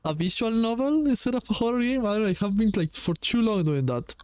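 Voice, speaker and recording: A man giving casual, halting game commentary and reading dialogue aloud, with a bored, dismissive manner. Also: high voice, lively intonation, a little mellow, smooth, no room sound. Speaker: gaming video host. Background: music behind the voice.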